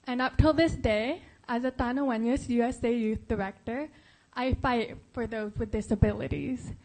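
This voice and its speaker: A young woman from the Philippines, a pageant contestant, giving an earnest speech and a measured scripted voice-over. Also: a little high, darkish tone, rough recording.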